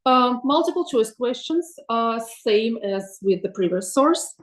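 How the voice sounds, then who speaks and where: Woman presenting, slightly high voice. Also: lively intonation, measured pace, echoey room. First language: Russian. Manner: thoughtful, many ums and uhs, asks for next slide. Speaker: webinar presenter, teacher. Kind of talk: presenting slides in an online webinar.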